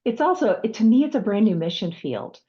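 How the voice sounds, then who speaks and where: A woman hosting a conversational interview, speaking in a polite, measured, appreciative way. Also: mid-range voice, very animated, mellow, dull audio. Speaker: host, author.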